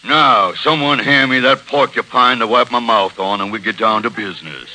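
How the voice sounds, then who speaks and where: An actor playing a boastful, bullying cowboy roughneck with a folksy American Western accent in a 1940s radio comedy. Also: male voice, medium pitch, normal speed, pushed and bright, low-quality recording. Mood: swaggering. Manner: folksy drawling brag, blustering. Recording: vintage 1940s radio broadcast.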